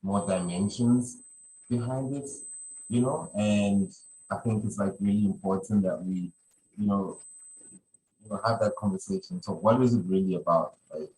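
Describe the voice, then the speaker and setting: A man's voice: on the low side, darkish tone, slight background noise, rough recording. A man hosting a podcast in casual conversation, rambling and often repeating his phrases. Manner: rambling, repeats phrases, many "you know". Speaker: podcast host.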